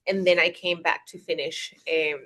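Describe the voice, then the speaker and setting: A woman's voice: fairly low pitch, steady tone, normal speed, a bit bright, poor audio. Chatty young Colombian-born woman. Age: young adult. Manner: chatty, lots of restarts and "eh" fillers. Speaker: English teacher, interviewee. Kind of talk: casual interview conversation.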